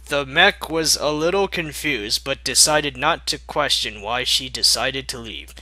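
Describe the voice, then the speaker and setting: A man reads a story aloud at a slow pace. His voice is very bright and forceful, recorded dry and close. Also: mid-range voice, no room sound. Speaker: fan fiction narrator. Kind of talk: story narration with joking asides.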